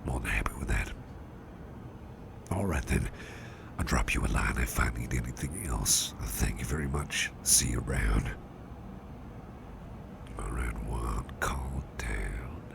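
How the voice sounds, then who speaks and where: A courteous, soothing businessman boss, acted out by a man in an ASMR roleplay. His voice is extremely low and full of heavy fry, hushed almost to a whisper. He takes his time, gently repeating polite phrases, with noticeable noise behind him. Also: animated, unhurried, long pauses, full character voice. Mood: obliging, pleased. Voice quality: very deep, gravelly, breathy near-whisper. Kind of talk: soft-spoken ASMR roleplay.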